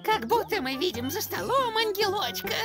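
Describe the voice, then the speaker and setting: An actor voicing a silly, excitable male crocodile henchman in a cartoon, with a goofy manner. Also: very high voice, normal speed, music in the background, exaggerated voice. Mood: lovestruck. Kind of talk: cartoon dub acting.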